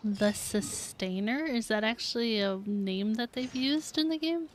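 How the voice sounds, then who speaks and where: A woman's voice: a little high, measured pace. A woman game streamer chatting casually to her live viewers as she plays.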